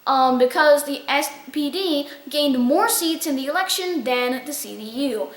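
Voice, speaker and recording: A woman's voice: sits high, moderate pace, echoey room. A woman hosting a casual weekly news review, chatty and loose, with frequent fillers.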